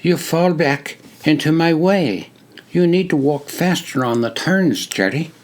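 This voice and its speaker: A man putting on a crisp, polite young recruit. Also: a little high, unhurried, voice pushed up, close to an everyday voice. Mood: genial. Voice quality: crisp, British-sounding speech. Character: friendly young male army recruit, polite and unbothered.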